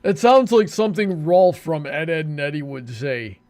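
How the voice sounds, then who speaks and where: A man hosting a livestream with casual banter, talking in a halting, good-humoured way. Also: a little high, animated, a little mellow. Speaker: livestream show host.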